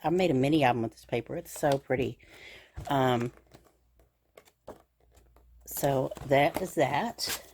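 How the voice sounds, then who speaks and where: A middle-aged woman with a low, slightly scratchy voice, chattering cheerfully about her craft supplies. She gushes and fills the gaps with "um"s. Faint noise sits behind her. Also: dark tone. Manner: chatty and gushing, with frequent fillers. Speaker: craft channel host. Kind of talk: casual crafting show-and-tell.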